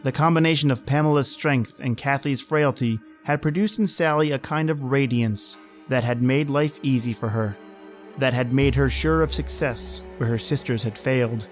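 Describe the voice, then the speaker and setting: A man playing a sinister, all-knowing narrator of dark tales in an old-time radio horror drama. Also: medium pitch, moderate pace, soft background music, close to an everyday voice. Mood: grave storytelling.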